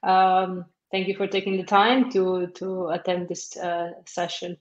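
A woman's voice: lowish voice, little pitch movement, measured pace. Young woman from Romania, friendly advisor, hesitant; echoey office, dull webcam sound. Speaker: academic advisor. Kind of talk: video call interview. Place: office, indoors. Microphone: laptop webcam on video call.

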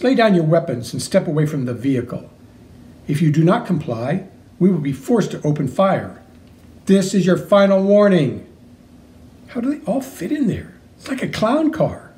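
A man's voice: high voice, warm tone. A man giving a theatrical read-aloud of comic book dialogue, acting out the parts. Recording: noticeable background noise.

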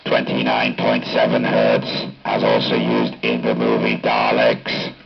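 A man speaking through a Dalek-style ring-modulator robot effect, announcing test settings in a clipped, deliberate way. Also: rumbling low, completely flat delivery, vocal fry, faint music behind. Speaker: hobbyist demonstrating a voice changer. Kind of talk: product test demonstration. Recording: dull lo-fi capture from amplifier speaker.

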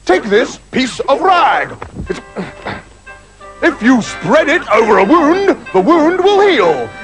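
A loud, rough-voiced spirit bellows in a strained, very high register that swoops wildly. He is a blustering, dim creature who shouts his threats and his pleas alike. Music plays behind him. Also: big pitch swings, slow pace, full character voice, low-quality recording. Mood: solemn.

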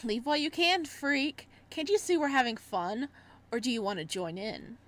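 A woman's voice: on the high side, moderate pace, a bit bright, close to an everyday voice. A woman voicing a sneering young university bully in a visual novel, taunting and threatening. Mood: menacing.

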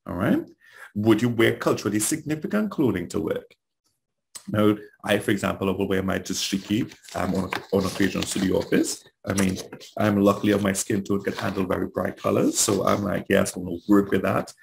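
A man's voice: fairly low pitch, fairly quick, gravelly, slight background noise, poor audio. A man from Trinidad with a Trinidadian accent, giving a conversational webinar talk as a trainer and asking rhetorical questions.

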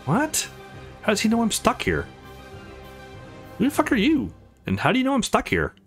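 Man acting desperate young game hero, anxious, quick-tempered, swinging pitch, music behind. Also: huge pitch swings, full character voice. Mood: angry, desperate. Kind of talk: visual novel dialogue read aloud.

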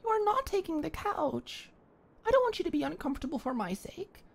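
Shy, stammering young woman, gravelly edge. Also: extremely high pitch, very animated, fairly quick. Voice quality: soft whispering at times. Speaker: ASMR roleplay performer. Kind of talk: ASMR roleplay, one-sided dialogue.

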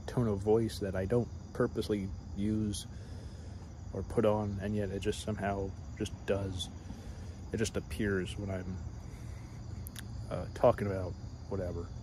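Man in his thirties, low voice, halting vlog ramble, night crickets loud in the background. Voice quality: fairly deep voice. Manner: hesitant, full of fillers and trailing thoughts. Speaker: vlogger. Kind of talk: rambling casual monologue. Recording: loud cricket noise behind voice. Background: crickets and insects at night.

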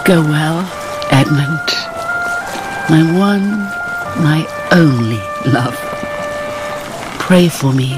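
Radio drama: gentle, sorrowful former lover. Measured, slow delivery, solemn, over music. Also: female voice, sits low, low-quality recording. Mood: sorrowful, resigned. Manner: tender, solemn, formal. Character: grieving, gentle former lover, a woman resigned to parting.

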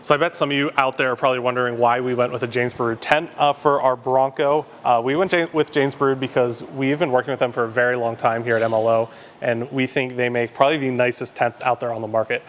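A young man casually walking through a vehicle install in a large garage workshop, relaxed and filling pauses with ums and uhs. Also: medium pitch, a little hiss or hum. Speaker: off-road shop presenter. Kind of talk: casual product walkthrough.

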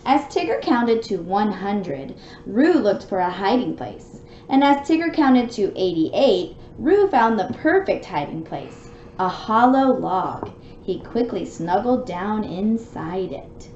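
A woman reading a picture book aloud to a small child in an expressive, sing-song, child-directed way at home. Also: medium pitch, takes it slowly, reverberant. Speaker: children's story time reader. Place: room at home with bookshelves. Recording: dull lo-fi home recording with noise.

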